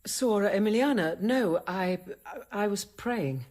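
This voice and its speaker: A woman's voice: mid-range voice, lively intonation, unhurried, a little mellow. A woman playing a guarded, learned convent apothecary nun in a radio drama, with precise, formal delivery. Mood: uneasy, careful. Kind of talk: radio drama acting and narration.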